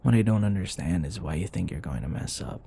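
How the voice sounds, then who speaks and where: Casting a man to act: grumpy but caring male office rival for ASMR drama, gravelly, blunt, conversational; slightly noisy recording. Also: sits low, animated, quick pace, mellow. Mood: soft, concerned. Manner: exasperated, blunt, conversational, sighing. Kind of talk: intimate ASMR boyfriend roleplay acting.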